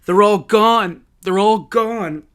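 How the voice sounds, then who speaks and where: A man's voice: high-pitched, moderate pace, lightly acted. A man voicing a frantic, terrified woman with urgent, emotional cries in a narrated true story. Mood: horrified. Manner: urgent, loud, emotional exclamations.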